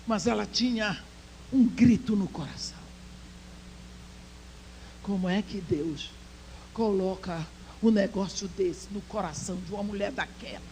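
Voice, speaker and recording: A woman's voice: mid-range voice, a little hiss or hum, low-quality recording. Impassioned woman preaching a sermon. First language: English. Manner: impassioned, swinging between loud proclaiming and hushed storytelling. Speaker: women's conference speaker. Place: church.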